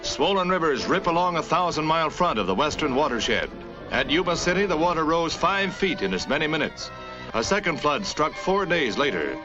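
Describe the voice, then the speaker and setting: Male American newsreel narrator, 1950s. Animated, declamatory announcer read, muffled film soundtrack with music underneath. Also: on the high side, normal speed. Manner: dramatic, declamatory announcer delivery.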